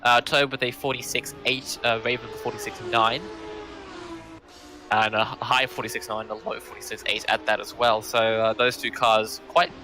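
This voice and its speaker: A man commentating an online sim race, easygoing and pausing often with fillers. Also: mid-range voice, over music. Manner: relaxed, many filler pauses.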